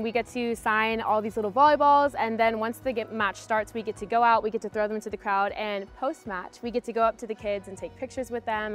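A young woman talking quickly with lively enthusiasm. She is interviewed outdoors in a garden. Soft music plays faintly behind her. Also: mid-range voice. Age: young adult. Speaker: homecoming queen.

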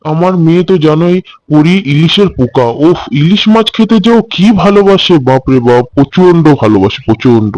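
A man's voice: a little high, lively intonation, fairly quick, darkish tone, no echo, muffled recording, lightly acted. A man voicing a doting, hearty village father who loves his food, chatty and exclaiming, in a cartoon story. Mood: fond. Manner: exclaiming, chatty, emphatic repetition. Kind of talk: Bengali cartoon story voice acting.

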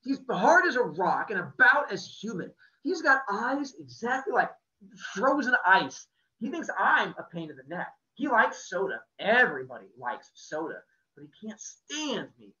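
A man's voice: fairly low pitch, steady tone, fast, few pauses, sharp tone, recorded from a distance, dull audio, pitched below the performer's own voice. A man reading aloud, voicing a bitter, hot-tempered teenage boy who speaks in passionate outbursts.

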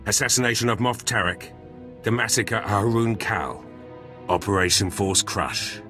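An actor playing a blunt, battle-hungry special forces soldier in a video game, gruff and husky, speaking in clipped, terse sentences. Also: male voice, fairly low pitch, moderate pace, bright, forceful tone. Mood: proud, businesslike. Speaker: soldier companion character. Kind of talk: video game dialogue acting. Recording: music under the voice.

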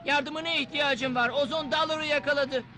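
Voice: high-pitched, steady tone, moderate pace, pushed and bright. An actor voicing an earnest, determined boy hero in a dubbed cartoon, with an eager manner. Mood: urgent, pleading. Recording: music behind the voice.

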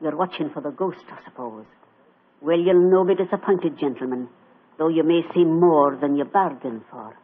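A man's voice: a little high, normal speed, husky, narrow, tinny audio. A man playing an eerie, superstitious Scottish housekeeper woman with a foreboding manner in a 1940s radio drama. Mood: ominous. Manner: ominous, foreboding. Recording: band-limited old radio broadcast.

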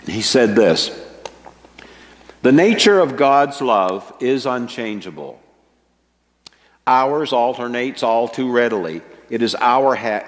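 An older man preaching a sermon from a church pulpit, slow and earnest, stressing his key words. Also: medium pitch. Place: church sanctuary with a stage, indoors. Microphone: microphone at a wooden pulpit, fixed camera. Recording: long church reverb.

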